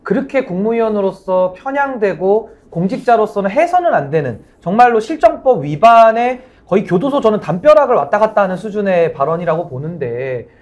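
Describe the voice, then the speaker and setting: A man, a lawyer on a political panel, arguing forcefully and critically. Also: sits high. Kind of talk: political debate commentary.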